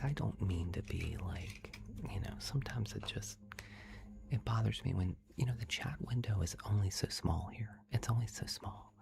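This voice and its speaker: A man whispers in a very low register with a gravelly creak underneath. He talks like an ASMR livestream host, rambling along and addressing his viewers directly.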